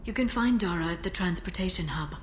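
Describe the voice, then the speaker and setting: A woman's voice: lowish voice, animated, brisk, a little hiss or hum, lightly acted. A woman playing a helpful female alien information broker in a video game, polite and composed. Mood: pleasant, informative. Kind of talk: video game character acting.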